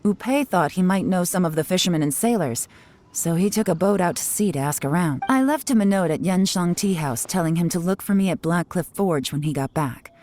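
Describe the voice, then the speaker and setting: A woman voicing a shrewd, composed investigator in an anime game, deliberate and self-assured. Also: fairly low pitch, lively intonation, a little mellow, natural voice. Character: cool, shrewd woman investigator leading a covert inquiry. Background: game music.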